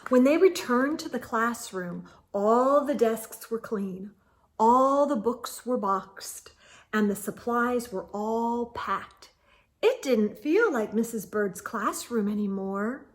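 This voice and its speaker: Slow, high-voiced woman reading a children's story. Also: expressive. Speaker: storytime host reading children's books aloud. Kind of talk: expressive children's read-aloud narration.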